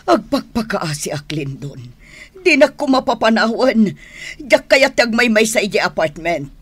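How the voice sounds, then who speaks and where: An actress playing a wealthy lady in a radio drama, with an Ilocano accent and drawn-out, melodramatic delivery. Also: on the high side, sing-song, breathy edge, full character voice. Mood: frightened, pleading. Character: frightened, pleading, coquettish grown woman, a wealthy lady.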